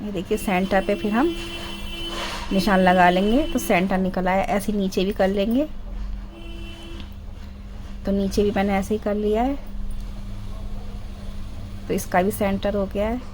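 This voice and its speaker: A middle-aged woman with a hoarse, sore throat talks through a sewing tutorial step by step, often stopping to clear her throat. She sits at a middling pitch and repeats each instruction carefully. A faint hiss runs under the voice-over. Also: steady tone, a little slow, long pauses, darkish tone, low-quality recording. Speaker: sewing channel host.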